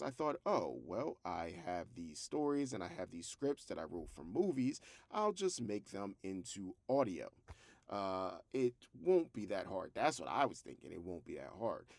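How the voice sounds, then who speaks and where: A man's voice: fairly low pitch, very animated, moderate pace, gravelly, a little mellow, no echo. A man talking casually as a vlog host, conversational and rambling, with a self-deprecating way of telling things. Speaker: host, fiction podcast creator. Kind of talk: casual vlog talk to camera.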